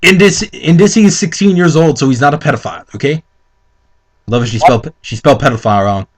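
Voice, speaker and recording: A man's voice: on the high side, fast. A man co-hosting a comedic dramatic reading, reading aloud theatrically and breaking off into incredulous, sweary commentary. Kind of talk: mocking read-aloud with casual commentary.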